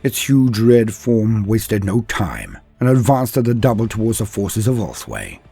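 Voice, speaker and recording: Deep voiced guy reading epic battle story, dramatic and grave, bit raspy, music behind. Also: wildly swooping pitch, unhurried, dark tone, dry recording. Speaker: narrator. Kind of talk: dramatic scripted fantasy battle narration. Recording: background music under the voice.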